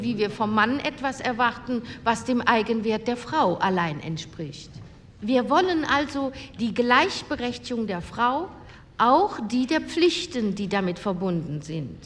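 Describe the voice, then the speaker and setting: An actress playing an earnest woman delegate who gives a formal, deliberate speech in a radio drama. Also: medium pitch, fairly bright tone, a little hiss or hum. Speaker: politician speaking in a committee debate. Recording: long hall reverb, faint ambience.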